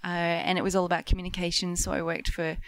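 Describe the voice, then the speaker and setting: Australian woman, middle-aged, lowish clear voice, dry close sound, chatty guest. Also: flat delivery, fast talker, no echo. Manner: upbeat, self-deprecating, many ums. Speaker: guest, marketing lead. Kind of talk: casual podcast chat.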